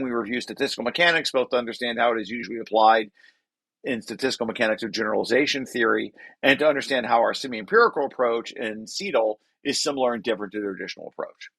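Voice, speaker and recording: A man's voice: mid-range voice, gravelly, fairly bright tone, slightly rough, dry recording. An older man giving an informal technical lecture, reading through a paper and explaining it, often rephrasing as he goes. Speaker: researcher presenting his paper.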